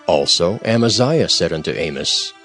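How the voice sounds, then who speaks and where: A man giving formal, measured narration for a dramatized scripture reading. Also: low voice, expressive, fairly bright tone, faint music behind.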